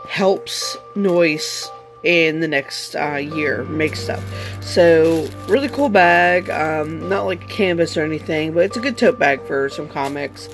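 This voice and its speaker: A man with a slightly hoarse voice hosting his vlog in a rambling, casual way, with many fillers. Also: a little high, slow pace, music in the background. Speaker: vlog host.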